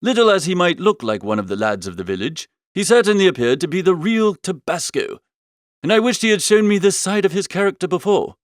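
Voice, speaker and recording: A man voicing an amiable, flustered upper-class young gentleman, chattily narrating a comic audiobook in old-fashioned slang. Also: sits high, very animated, brisk, no room sound, clean studio sound. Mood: amused narrating.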